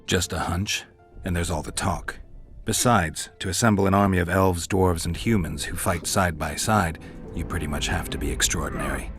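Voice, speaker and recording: An actor playing a stoic, dry-witted mercenary monster hunter in a video game, terse and deadpan. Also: male voice, low voice, normal speed. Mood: wry, measured. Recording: game music behind the voice.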